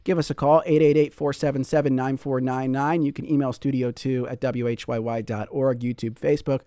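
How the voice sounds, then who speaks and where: A man's voice: medium pitch, flat delivery, fairly quick, dark tone, clear, resonant tone, no room sound, clean studio sound. A man hosting a public radio call-in show, talking in a friendly way and reading out listener comments. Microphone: studio microphone.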